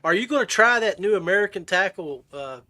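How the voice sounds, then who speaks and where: A man's voice: sits high, animated, a little fast, bright, forceful tone. A man hosting a podcast and chatting casually with his guest. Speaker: podcast host. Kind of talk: casual chat.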